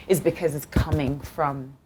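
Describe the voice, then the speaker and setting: A woman's voice: deep voice, fairly even intonation, moderate pace, darkish tone, slight background noise. A woman from Zimbabwe hosting an interview, effusive and encouraging, often laughing as she praises her guest. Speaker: host. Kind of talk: warm interview chat.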